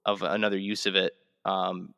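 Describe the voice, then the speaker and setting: A man's voice: sits low, little pitch movement, moderate pace, creaky, pushed and bright, no echo, clean studio sound. A man hosting a podcast in casual chat, talking in rambling, hesitant phrases with repeated words. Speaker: podcast host.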